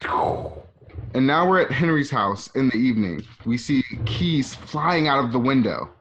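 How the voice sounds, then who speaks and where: A man's voice: medium pitch, very animated, measured pace, fairly bright tone. Male radio drama narrator, slightly dull sound. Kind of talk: scripted narration of radio drama stage directions.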